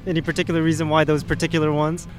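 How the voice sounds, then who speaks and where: A man hosting a punk radio show, interviewing a guest in a relaxed, chatty way and laughing often. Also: fairly high pitch, rapid-fire, faint music behind.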